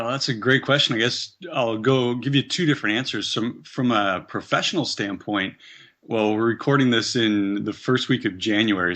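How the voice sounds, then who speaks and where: A man's voice: on the low side, sharp tone. A Canadian man talking as a guest on an interview podcast, upbeat and chatty, laughing easily. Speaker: podcast guest, personal trainer. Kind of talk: casual interview conversation.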